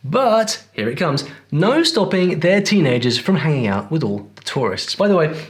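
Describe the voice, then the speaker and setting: Energetic guy narrating an accent video, voice bouncing up and down a lot. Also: fairly high pitch, moderate pace. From not American. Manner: lively, enthusiastic. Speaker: host. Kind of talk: documentary-style narration.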